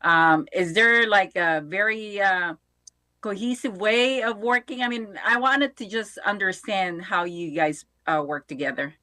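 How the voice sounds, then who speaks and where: A woman's voice: medium pitch, pushed and bright, no echo. Curious woman host, dry close sound. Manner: curious, halting questions with many "uh". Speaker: show host. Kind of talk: interview questions.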